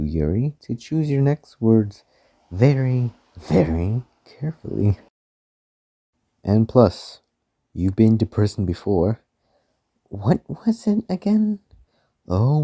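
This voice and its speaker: A man's voice: medium pitch, warm tone, exaggerated voice. A man playing a smug, arrogant, menacing rich mansion owner with a haughty, sneering delivery in an amateur radio play.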